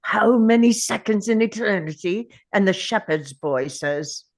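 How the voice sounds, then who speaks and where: A woman's voice: medium pitch, lively intonation, measured pace. A woman playing an ancient male time traveller, weary and defiant, at a table read of a drama script.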